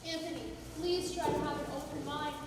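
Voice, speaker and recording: A woman's voice: sits high, steady tone, a little fast, lightly acted. An actress on stage playing an anxious young woman who feels haunted, speaking earnestly and nervously. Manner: earnest, nervous, then defiant. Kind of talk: amateur stage acting. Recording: echoey hall from far off, noisy.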